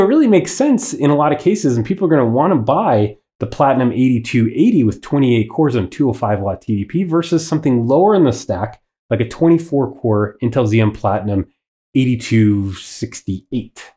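A man's voice: medium pitch, warm tone. Nasal man with a head cold. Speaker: tech channel host. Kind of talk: scripted explainer to camera. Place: small indoor studio with wood backdrop.

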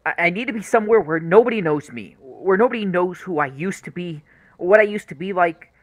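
A man's voice: high-pitched, a little fast, no room sound, lightly acted. A man playing an apologetic, earnest adult son in a radio play, hesitant and repeating his words. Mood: pleading, determined.

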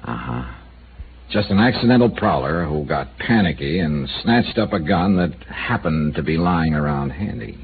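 An actor playing a probing male insurance investigator in a 1950s radio drama, questioning with dry, needling calm. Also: extremely low pitch, moderate pace. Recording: tinny old radio broadcast with faint hiss.

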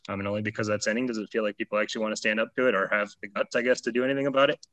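Man, fast and bright delivery, earnest, dry close sound. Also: fairly low pitch, steady tone, no echo, highs cut off. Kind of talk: spoken commentary at a press conference.

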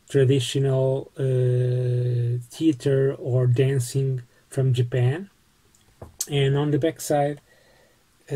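A man in his forties with a Portuguese accent, casually showing his postcards at home and talking through each one with small pauses. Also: on the high side, mellow. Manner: unhurried, friendly, pauses with fillers while showing items. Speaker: postcard collecting vlogger. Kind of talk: casual show-and-tell vlog. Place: small home room lined with bookshelves, indoors.

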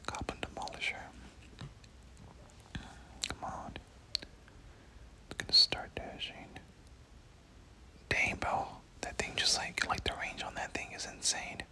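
A whispering game streamer gives ASMR play-by-play in a casual, slangy way. The voice is breathy and unvoiced at a close mic, often repeating little words and phrases. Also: takes it slowly, pauses often, bright, forceful tone, rough recording. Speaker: gaming video host. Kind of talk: whispered ASMR gameplay commentary.

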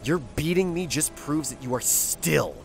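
A man's voice: fairly high pitch, lively intonation, unhurried, vocal fry, faint music behind. A man playing a sardonic, seasoned space skipper in a sci-fi audio drama, with a taunting, stubborn manner. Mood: mocking, defiant.

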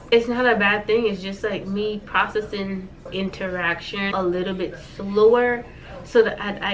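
A woman's voice: medium pitch, low-quality recording. An American woman in her thirties chatting casually and playfully to camera as a vlogger, repeating phrases for emphasis. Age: 30s. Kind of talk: casual chatty life-update vlog to camera.

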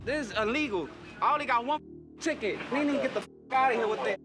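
An angry car owner shouting and cursing at parking officers on a city street. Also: male voice, very high voice, quick pace, loud music behind the voice.

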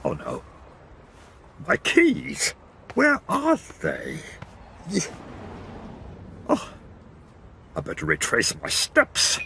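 A slow, gravelly cartoon oddball. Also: male voice, medium pitch, wildly swooping pitch, pushed and bright, slight background noise, heavily acted. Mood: muttering, puzzled. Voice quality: gravelly, rubbery mumbling delivery. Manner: halting, drawn-out words with grunts and sighs. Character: bumbling, childish, petulant middle-aged oddball man. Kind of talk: exaggerated animated-cartoon acting.

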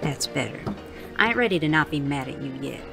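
An actress playing a tough, foul-mouthed Wild West saloon madam in an audio drama, blunt and dry with a Western American drawl. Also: female voice, sits low, lively intonation, fairly quick, vocal fry, music in the background. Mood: scornful, dry.

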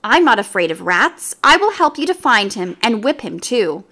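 A woman voicing a brisk neighbour cat who speaks firmly and matter-of-factly. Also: fairly high pitch, sing-song, sharp tone, heavily acted. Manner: firm, matter-of-fact.

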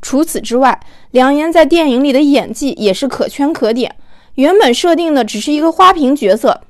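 A woman's voice: high-pitched, lively intonation, normal speed, dry recording, studio quality. A woman narrating an audiobook in a storytelling style, acting out the dialogue as she goes.

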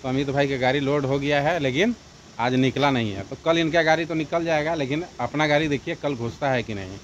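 A man's voice: mid-range voice, brisk, muffled recording, a little hiss or hum. A man from India narrating a casual trucking and cooking vlog step by step, addressing viewers as friends. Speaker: truck driver vlogger.

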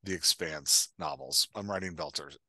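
A man's voice: very deep voice, moderate pace, sharp tone, no echo, clean studio sound. A man in his forties chatting casually as he explains things, thinking aloud and restarting his sentences on a headset mic. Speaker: podcast host and writing coach. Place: indoor room on a video call.

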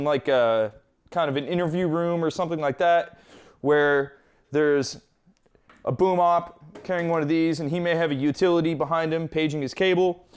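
A man's voice: a little high, darkish tone, smooth. A man presenting a hands-on equipment tutorial, explaining step by step in an informal, conversational way.

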